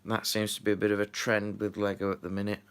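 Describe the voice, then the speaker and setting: A man's voice: lowish voice, completely flat delivery, quick pace, smooth. A man chatting casually on a live stream while building, rambling with lots of fillers and muttering to himself, a little stuffy from a runny nose.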